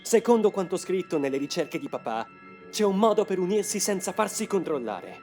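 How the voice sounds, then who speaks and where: A man's voice: high-pitched, big pitch swings, fairly quick, darkish tone. A man voicing a grave, determined young man in a motion comic dub, with a solemn, explanatory delivery. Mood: resolute. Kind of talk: dramatic motion comic dubbing. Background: music.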